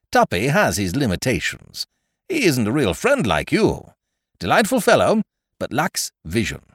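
A man's voice: medium pitch, no room sound, studio quality, voice pushed up. A man voicing a breezy, overfamiliar male scrounger in a story, effusive and cajoling with old-fashioned slangy chumminess. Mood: confiding. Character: breezy male scrounger, wheedling, overfamiliar, falsely hearty chum.

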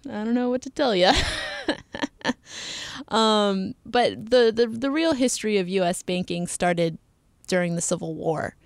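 A woman's voice: medium pitch, measured pace, dry recording, clean studio sound. A young adult woman hosting a podcast, talking in a chatty, playful way and laughing often. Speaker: podcast host. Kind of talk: casual podcast chat.

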